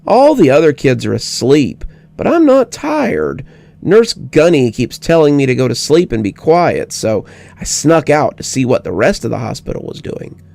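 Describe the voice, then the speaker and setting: A man's voice: on the high side, very animated, normal speed, gravelly, darkish tone. A man voicing a mischievous, curious six-year-old girl in a horror story reading, with a pert, inquisitive, childlike manner.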